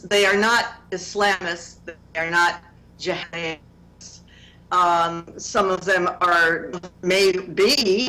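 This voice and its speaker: A woman in her seventies from Connecticut, a guest explaining her activist group in slow, earnest interview answers. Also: on the low side, a bit bright, rough recording. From Connecticut, USA.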